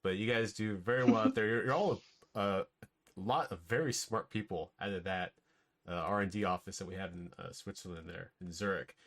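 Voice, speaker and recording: A man hosts a podcast in an easygoing, conversational way. His voice sits a little low, and he repeats words and leans on casual fillers as he talks. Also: a little fast, fairly bright tone. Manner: easygoing, chatty, repeats words, uses "like" and "stuff". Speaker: podcast host.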